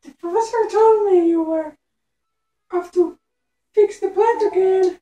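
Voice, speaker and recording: Sweet young cartoon hedgehog girl, played by a man, falsetto, slow delivery, muffled roomy recording, game parody. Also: dark tone. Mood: sweet, puzzled. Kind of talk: amateur game parody voice acting.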